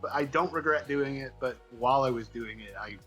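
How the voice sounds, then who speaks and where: A man's voice: mid-range voice, fairly quick, a bit bright, faint music behind. A man chatting casually as an interview guest, telling things in a rambling, wry, informal way. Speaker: guest comics writer.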